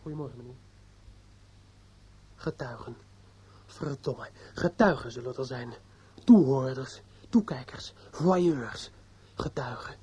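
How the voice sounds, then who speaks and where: An actor in a radio drama playing a despairing, obsessive judge's son who is outwardly polite and inwardly bitter. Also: male voice, mid-range voice, darkish tone, a little hiss or hum, natural voice. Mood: bitter muttering. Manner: halting and hesitant with others, brooding and repetitive when alone. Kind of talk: radio drama acting with interior monologue. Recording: dull lo-fi old radio play recording.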